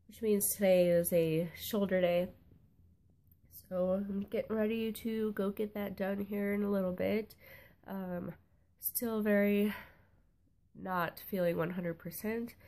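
A woman's voice: mid-range voice, mellow. A young woman vlogging from her car on a phone held at arm's length. She is stuffed up and losing her voice a little, and she talks in a chatty, rambling way full of "like" and "um.". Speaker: fitness vlogger. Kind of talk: casual vlog chat. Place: parked car. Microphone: phone camera at arm's length.

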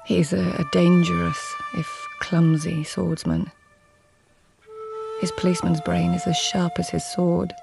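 A woman playing a poised, determined lady-in-waiting of old Japan in a radio drama, formal and measured. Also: fairly low pitch, little pitch movement, creaky, music in the background. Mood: reflective, tender. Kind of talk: radio drama acting and narration.

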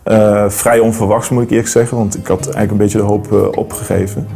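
Gravelly-voiced man, hesitant interview talk. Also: fairly low pitch, flat delivery, fast, music in the background. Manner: hesitant, many fillers and restarts. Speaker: metal detectorist interviewee. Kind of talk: documentary interview. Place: studio against plain backdrop.

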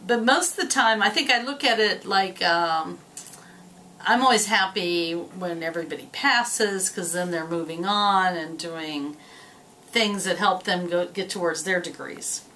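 Bright-voiced middle-aged woman. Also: lowish voice, unhurried, a little hiss or hum, low-quality recording. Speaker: college ESL teacher. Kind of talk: casual interview answers. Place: small office indoors. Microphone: camera a few feet away.

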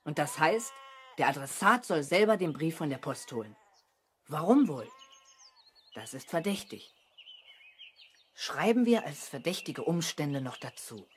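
A young man playing an earnest, eager boy detective with a deliberate, self-important manner in a children's radio play. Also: fairly high pitch, very animated. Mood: pondering, self-important. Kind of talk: 1970s German children's radio play acting. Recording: noisy 1970s radio play recording.

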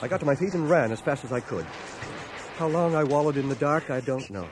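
An actor playing a war veteran who soberly recounts his battle memories in measured, articulate, literary storytelling for a radio drama. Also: a little high, expressive, lightly acted. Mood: grave, tense. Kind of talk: dramatized first-person narration, radio drama. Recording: noisy cassette of 1980 radio drama.

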